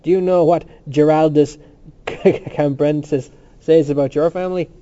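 A man voicing an excitable young male student in a story, eager and insistent as he declaims. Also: fairly high pitch, a little slow, muffled recording, close to an everyday voice. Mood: boastful.

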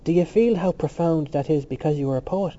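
A man voicing an excitable young male student in a story, eager and insistent as he declaims. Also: a little high, brisk, highs cut off, close to an everyday voice.

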